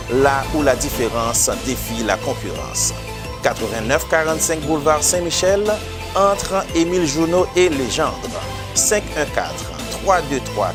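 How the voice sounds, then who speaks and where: Punchy male ad announcer over music. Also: fairly high pitch. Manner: punchy, listing, promotional. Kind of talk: scripted radio ad read. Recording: voice over clear music bed.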